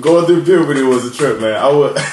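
An American man from Miami with a fairly high voice, telling stories in a casual podcast chat, laughing often and swearing freely. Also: fast talker, echoey room, very noisy. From Miami, USA. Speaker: podcast co-host.

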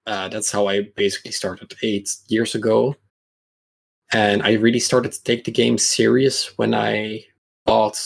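A man in his mid-twenties chatting casually about his own experiences in a rambling, halting way. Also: medium pitch, creaky, slightly rough. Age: mid-20s. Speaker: podcast guest, competitive card game player. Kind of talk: casual chat, personal anecdotes.

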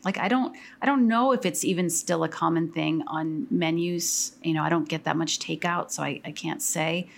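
Low-voiced dry woman podcast co-host. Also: moderate pace, gravelly, hardly any pauses. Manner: dry, laid-back, lots of quiet agreement sounds. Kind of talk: casual chat.

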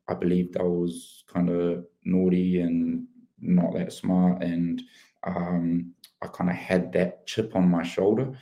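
Deep gravelly New man. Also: mellow. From New Zealand. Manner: earnest, open, many restarts and fillers. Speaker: podcast guest, former pro rugby player. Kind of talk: reflective interview storytelling.

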